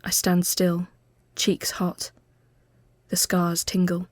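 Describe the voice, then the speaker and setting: A woman reading a literary ghost story as scripted narration, measured and often hushed as phrases end. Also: lowish voice, monotone, no room sound, clean studio sound.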